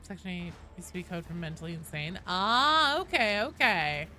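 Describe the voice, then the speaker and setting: A woman's voice: fairly low pitch, expressive, moderate pace, bright, forceful tone, soft background music. A woman chatting casually and expressively as she hosts a gaming livestream, reading out and answering her viewers. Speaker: live streamer, host.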